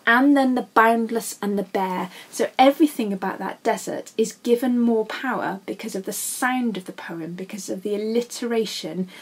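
A woman's voice: a little high, moderate pace, few pauses, breathy edge. A woman giving an emphatic, explanatory lesson on a poem, line by line. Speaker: English literature teacher.

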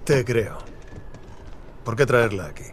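A bitter, guarded brother. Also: male voice, medium pitch, lively intonation, slow, background noise. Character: resentful younger brother, settlement leader.

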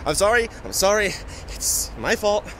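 A man playing an injured, famous musician who is guarded, halting and sarcastic, in an audio roleplay spoken to the listener. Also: sits high, very animated, fairly bright tone, slight background noise. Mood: pained, pleading.

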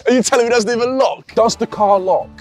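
A young man in casual chat inside a small car, excitable, swearing and laughing a lot, with music behind. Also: very high voice, quick pace. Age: young adult. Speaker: YouTuber.

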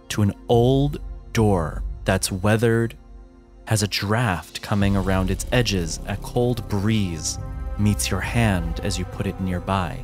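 A man narrating a tabletop roleplaying game as game master, in a measured, descriptive and dramatic storytelling manner. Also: lowish voice, a little mellow. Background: music.

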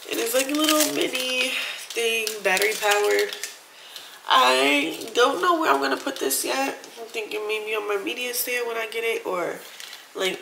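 A woman's voice: a little high, vocal fry, echoey room, background noise. A woman of about thirty with a stuffy nose, chatting casually to viewers about a shopping haul in her apartment. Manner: chatty, addresses viewers as y'all. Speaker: vlogger.

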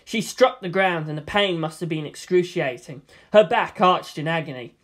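Story narrator, mid-pitched voice, dramatic scripted reading, audiobook. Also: moderate pace.

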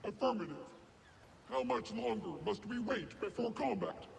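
A man voicing an arrogant, ruthless combat droid in a video game, clipped and deadpan through robotic processing. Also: high-pitched, moderate pace, a little hiss or hum, heavily acted. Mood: deadpan menace. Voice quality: gravelly, mechanical.

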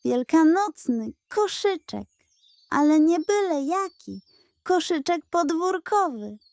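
A woman's voice: extremely high pitch, animated, slow, a little mellow, dry recording, voice pushed up, full character voice. A woman voicing a pompous, scheming male pug with theatrical delivery in a children's story. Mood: proud, scheming. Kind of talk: children's bedtime audio story.

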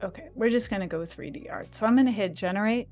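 A woman's voice: mid-range voice, fast talker, a little mellow, soft background music. A woman talking on a video call, walking through a design tool in a live tutorial with a chatty, eager manner. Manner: chatty, enthusiastic. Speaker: guest designer demonstrating software. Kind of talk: live screen-share tutorial.

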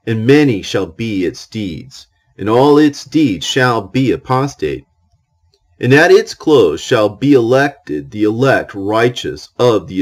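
A man reads ancient scripture as a solemn audiobook. His voice sits on the low side and moves very slowly, with deliberate pauses between phrases. The delivery is declamatory, rising and falling widely in pitch. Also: a little mellow.

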